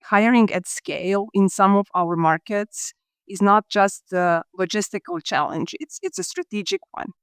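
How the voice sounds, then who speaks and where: Smooth, measured, businesslike middle-aged woman from Croatia, dry close mic. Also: mid-range voice, expressive, dry recording. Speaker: guest, talent acquisition leader. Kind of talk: podcast interview answers.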